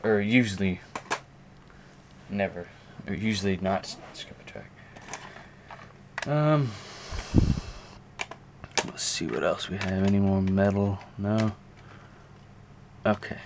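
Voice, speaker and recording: A man giving a casual, unscripted show-and-tell to a camera, talking haltingly and thinking aloud as he goes. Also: deep voice. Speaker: video creator showing a music collection. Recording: clear background noise, amateur camera audio.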